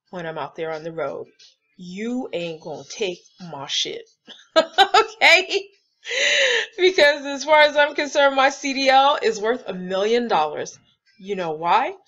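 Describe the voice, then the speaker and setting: A woman's voice: medium pitch, fairly bright tone, muffled recording. Sassy, candid woman trucker. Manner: candid, sassy, laughs often, swears. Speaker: truck driver vlogger. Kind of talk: casual advice vlog. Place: truck cab.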